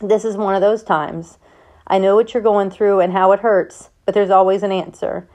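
A woman reads as an earnest farm father from a storybook. He is steady and firm, yet consoling as he counsels his son. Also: medium pitch, normal speed, close to an everyday voice.

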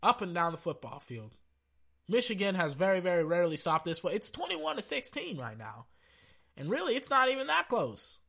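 A young man hosts a loose sports podcast in a high, bright voice with a forceful edge. His throat is sore and his voice is fading, yet he stays brash and excitable, calling out to "ladies and gentlemen" as he riffs. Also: gravelly, slightly rough. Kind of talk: casual sports podcast talk.

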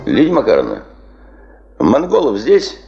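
An actor in a Soviet radio drama playing a stubborn, ambitious male chief of a geological administration, speaking in a deliberate, formal and authoritative way. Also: medium pitch, wildly swooping pitch, gravelly, dull audio, loud music behind the voice, exaggerated voice. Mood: firm, controlled.

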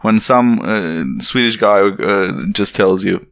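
A young man from Romania with a Romanian accent, chatting casually and laughing often, his pitch leaping up when he acts out quotes. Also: takes it slowly, dry recording, studio quality. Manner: animated, laughs often, jumps high when acting out quotes. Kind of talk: casual chat.

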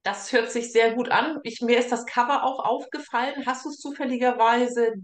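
Echoey, higher-voiced woman hosting a chat. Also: fairly even intonation, normal speed. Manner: chatty, informal. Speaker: interview host. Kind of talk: casual online author interview. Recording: echoey, roomy sound.

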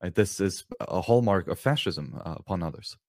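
A man hosting a podcast interview, speaking in a measured way and asking guiding questions. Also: low-pitched, animated, a little mellow, no echo, studio quality. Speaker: host, interviewer.

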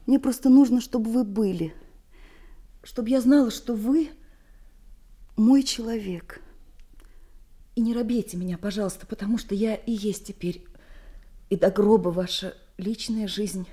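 A woman's voice: medium pitch, a little slow, pauses often, mellow, natural voice. An actress playing a devoted, tender yet bossy woman in love, earnest and intimate, in a radio play.

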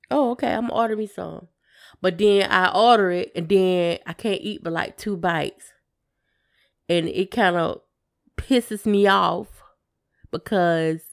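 A woman chatting casually as a podcast co-host in a hesitant, low-key way. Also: gravelly. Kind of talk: casual chat.

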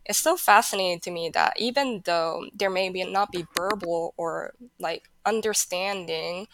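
A woman's voice: on the low side, measured pace, fairly bright tone, a little hiss or hum, low-quality recording. A young woman whose first language is Japanese, talking in a rambling, hesitant way as a podcast guest. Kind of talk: casual chat.

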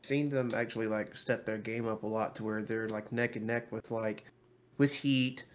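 A man's voice: on the low side, fairly even intonation, normal speed. A male podcast co-host in casual chat, laid-back and full of fillers.

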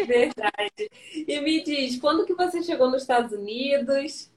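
A woman's voice: sits high, expressive, unhurried, echoey room, far from the mic. A woman hosting a casual live-stream interview, chatty and encouraging, asking friendly questions.

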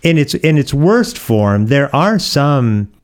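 A middle-aged American man from Oregon, a pastor being interviewed, explaining things conversationally with thoughtful, measured pauses. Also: mid-range voice, sing-song. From Oregon, United States.

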